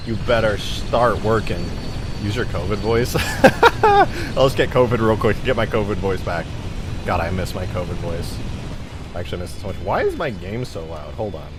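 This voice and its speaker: Male live streamer, low register, casual and slangy, self-deprecating, faint music underneath. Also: sing-song, fairly quick. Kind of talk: casual livestream chat, reading out viewer comments.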